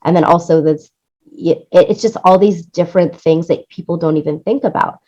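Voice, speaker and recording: Low-pitched woman, dull lo-fi audio. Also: normal speed. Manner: animated explaining, acts out quoted lines, seeks agreement with "right?". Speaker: interview guest, music supervisor and audio producer. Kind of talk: casual conversational interview.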